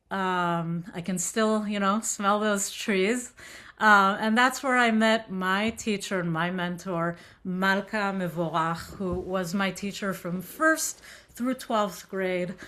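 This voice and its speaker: Bright-voiced woman with a light Israeli accent. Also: mid-range voice. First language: Hebrew. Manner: warm, chatty, many fillers and restarts. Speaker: synagogue musician giving a talk. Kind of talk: casual lecture to a group.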